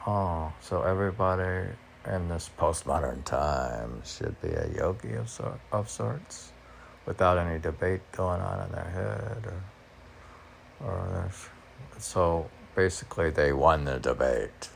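A man with a very deep, gravelly voice reads and muses on his own poetry. He speaks slowly, in a rambling, self-questioning way. There is some background noise behind him. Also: animated. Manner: musing, talks to himself in questions. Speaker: poet reading own poems.

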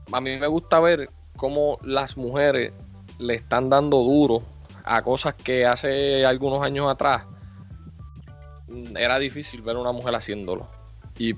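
Easygoing male podcast host. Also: mid-range voice. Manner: loose and meandering, lots of fillers. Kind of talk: casual podcast interview. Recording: slightly muffled, faint music behind.

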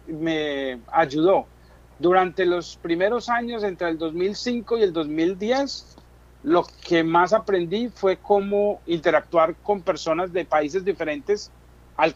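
A man's voice: high voice, takes it slowly, dull audio. A middle-aged man with a Colombian accent, telling his own story as an interview guest in conversational Spanish. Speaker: interview guest, business chamber chairman.

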